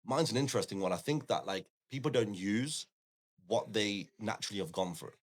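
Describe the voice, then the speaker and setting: A man from the English Midlands with a low voice and a local accent, whose first language is Punjabi. He talks at length as an interview guest, spinning anecdotes and acting out bits of dialogue in a conversational way. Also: brisk. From Coventry, England. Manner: talkative, anecdotal, often quoting imagined dialogue. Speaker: podcast guest, forex trader and educator. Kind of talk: conversational podcast interview, telling personal stories.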